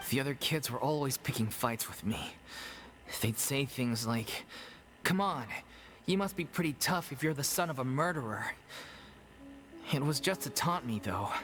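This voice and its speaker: Casting: man as earnest, loyal young theatre player for anime game, medium pitch, plain-spoken. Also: fairly bright tone, lightly acted. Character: sincere, loyal young male stage actor. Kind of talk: anime-style game voice acting. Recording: music under the voice.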